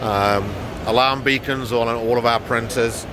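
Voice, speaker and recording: A man's voice: mid-range voice, steady tone, a little slow. A middle-aged man giving an informal product tour to camera as a company representative, recorded on a handheld phone in a large trade show hall. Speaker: business development manager presenting products. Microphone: handheld phone at arm's length. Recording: noisy exhibition hall ambience.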